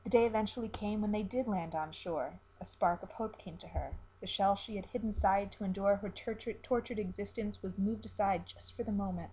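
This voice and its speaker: A woman storyteller reading a dark, dramatic tale as scripted narration. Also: medium pitch, a little fast, few pauses, a little hiss or hum, poor audio.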